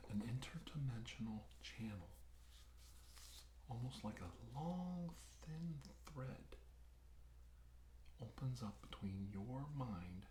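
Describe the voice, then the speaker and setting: A man playing a calm, reassuring doctor in a soft-spoken, often whispered ASMR medical roleplay, talking slowly and gently. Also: medium pitch, echoey room, slight background noise. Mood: hushed, explaining. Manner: soothing, hushed, slow and gentle, often whispering. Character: calm, reassuring male doctor treating an alien-abduction patient.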